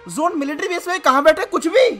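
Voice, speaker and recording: Young adult male gaming host, slangy and teasing reactions, casual commentary over clear background music. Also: very high-pitched, fast. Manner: animated, slangy, teasing exclamations.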